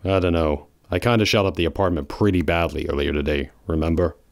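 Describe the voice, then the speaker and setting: A man's voice: low-pitched, steady tone, fast. A man voicing a brooding, protective male hedgehog in a fan audio drama, gloomy and pessimistic.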